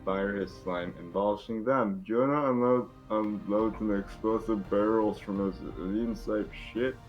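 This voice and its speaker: Male amateur narrator, low register, casual and stumbling table read delivery, lo-fi sound with music underneath. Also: steady tone, a little slow. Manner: informal, stumbling over the script.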